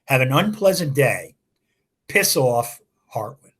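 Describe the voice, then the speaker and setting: A man's voice: medium pitch, very animated, takes it slowly, fairly bright tone, husky, full character voice. A man voicing a prim, offended imaginary librarian in his thirties who writes in a formal, coldly cutting way in a read-aloud story.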